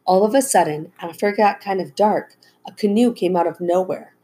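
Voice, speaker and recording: Woman as excitable, breathless young boy. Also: medium pitch, slightly rough, heavily acted. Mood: excited, breathless. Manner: rushed, breathless telling.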